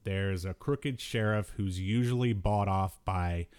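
A man with a fairly low voice co-hosts a casual podcast about pop culture. His delivery is halting and hesitant, as he feels his way toward each point in loose, conversational talk. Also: a little mellow, clean tone. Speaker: podcast host. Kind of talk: casual chat about retro cartoons.

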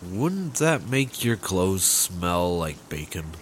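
An actor playing an anxious, cautious buddy-cop sidekick in a sci-fi comedy audio drama, worried and urging. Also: male voice, sits low, big pitch swings, slow, a little hiss or hum, exaggerated voice. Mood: disapproving.

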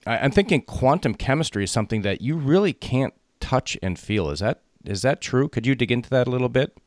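Upbeat guy hosting a podcast, bouncy lively voice, dry close sound. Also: medium pitch, brisk, no echo. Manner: upbeat, enthusiastic, animated intonation. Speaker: podcast host. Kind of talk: interview podcast.

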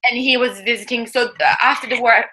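A woman's voice: on the high side, fairly even intonation, fast talker, pushed and bright, breathy edge, rough recording. A woman in her thirties, not a native English speaker, telling her life story candidly in a casual interview, often laughing and searching for words. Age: 30s. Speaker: guest.

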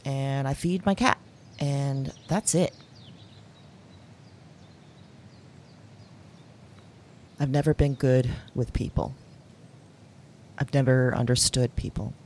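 An actress playing a lonely, awkward office worker, confiding haltingly and candidly in an audio diary drama. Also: female voice, rumbling low, slight background noise. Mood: resigned, lonely. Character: lonely, awkward woman office worker keeping a dream journal.

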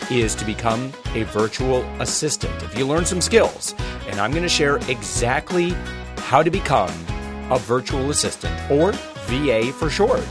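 A male talk show host with a cold, giving upbeat, energetic tips on deals and savings. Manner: upbeat, energetic pitchman delivery. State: has a cold. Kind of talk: radio-style talk show.

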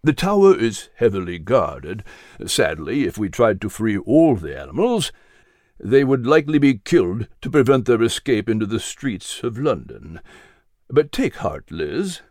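A narrator voicing a calm, wise and kindly male guide in a children's audiobook. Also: medium pitch, measured pace, no echo, studio quality. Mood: solemn.

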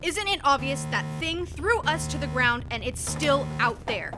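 A woman playing a tough, blunt spaceship crew officer with a brisk, commanding manner in an audio drama. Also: sits high, expressive, over loud music. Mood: urgent, barking. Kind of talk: science fiction audio drama.

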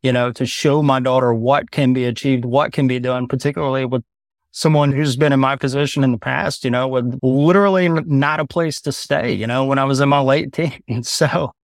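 A man's voice: medium pitch, fairly even intonation, moderate pace, dry recording, studio quality. A man in his thirties, a guest on a podcast, talking bluntly and loosely in casual conversation. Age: 30s. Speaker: podcast guest, video business owner.

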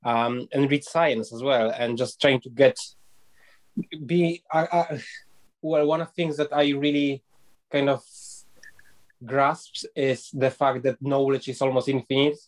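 A man speaks as a guest on a reflective panel. His voice sits at a middle pitch, and he talks in a thoughtful, hesitant way, stopping often to search for the right words. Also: flat delivery, measured pace, long pauses, rough recording. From Poland. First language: Polish. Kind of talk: reflective discussion.

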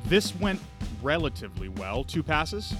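Male game player, animated intonation, slightly high voice, casual improvising, music behind. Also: a little slow. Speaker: player on a tabletop game show.

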